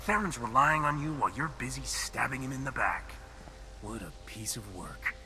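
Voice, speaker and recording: A cool, sceptical hero captain from a video game, speaks in terse, dry lines. The voice sits at middle pitch with long reverb, under prominent music. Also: male voice, lively intonation, moderate pace, bright, forceful tone. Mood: disapproving.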